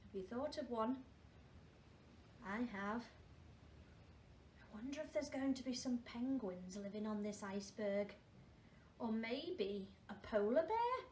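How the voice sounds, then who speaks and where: A woman reads a children's story aloud in a high, very animated voice at a slow pace. She adds questioning asides for young listeners, and the recording is roomy. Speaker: primary school storyteller. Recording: roomy, distant mic, faint noise.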